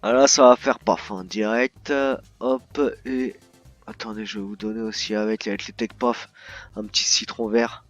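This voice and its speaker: A man's voice: lowish voice, fairly even intonation, normal speed. A man improvising as a courteous bartender in a roleplay game, speaking politely and formally to customers. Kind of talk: improvised in-character customer service chat. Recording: dull lo-fi voice chat, music behind. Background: music.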